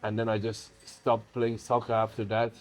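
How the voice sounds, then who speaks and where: A man's voice: on the low side, monotone, moderate pace. A young man from Iran, a native Farsi speaker, chatting casually in an interview about his life. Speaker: bodybuilder.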